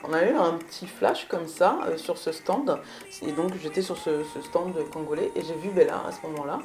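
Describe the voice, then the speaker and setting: A woman musician answering interview questions about her career in a hesitant way, pausing often mid-phrase. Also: low-pitched, a little mellow, faint music behind. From Belgium. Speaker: musician, singer and guitarist.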